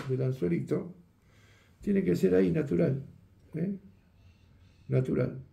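Older man, fishing instructor, dark mellow voice, chatty demo. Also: fairly high pitch, creaky, echoey room. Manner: chatty, checks in with "¿eh?" and "¿ves?". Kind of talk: hands-on tutorial demonstration. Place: indoor workroom at a table.

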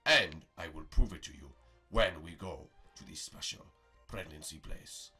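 A man's voice: a bass voice, lively intonation, bright, forceful tone, slightly rough, soft background music, pitched below the performer's own voice, full character voice. A man voicing a solemn, self-important mystical guide in an improvised toy story. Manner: pompous, slow proclaiming.

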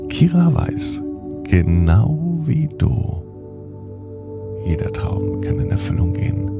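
A man narrating a children's bedtime story on a podcast in a slow, soothing manner that sinks to a whisper at the end. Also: low voice, vocal fry.

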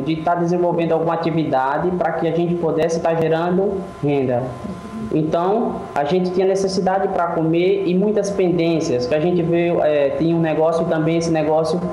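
A man's voice: fairly high pitch, dark tone, dull audio. A man in his thirties from Brazil, telling his story as an interview guest over a video call, earnest and full of restarts. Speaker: guest entrepreneur. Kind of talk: interview answers. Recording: echoey room with faint noise.

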